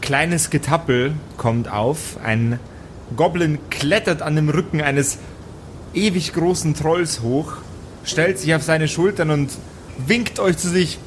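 A man narrating an improvised tabletop audio play as game master, telling the scene in deliberate, descriptive phrases. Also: on the high side, slight background noise.

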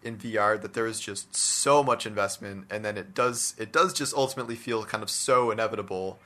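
A man's voice: fairly low pitch, brisk, sharp tone. A man talking casually and giving his opinions in a discussion about his hobby, peppering his speech with "like" and "you know". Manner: conversational, hedging with "like" and "you know". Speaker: podcast co-host discussing video games.

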